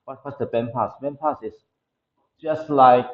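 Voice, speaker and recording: A man with a Taiwanese accent lecturing to a class, explaining technical material haltingly and often repeating his phrases. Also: mid-range voice, lo-fi sound. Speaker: university lecturer. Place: indoor classroom.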